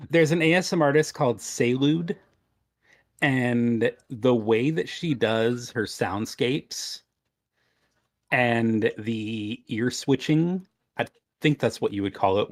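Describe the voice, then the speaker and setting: Man, medium-pitched voice, podcast panelist, casual chat, dry close recording. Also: no room sound. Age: adult.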